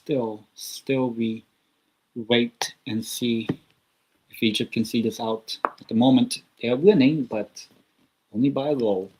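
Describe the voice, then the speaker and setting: A man's voice: mid-range voice, steady tone, unhurried, mellow, slightly rough, low-quality recording. A man hosting a live football watchalong, giving casual, rambling commentary with chatty asides from a small room at home. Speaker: watchalong host.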